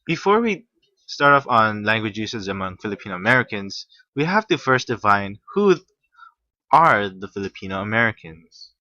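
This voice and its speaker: A young man from Hawaii reading a class presentation, halting at times with restarts. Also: fairly low pitch, very animated, pushed and bright, no echo. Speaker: student presenter. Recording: muffled, slightly lo-fi.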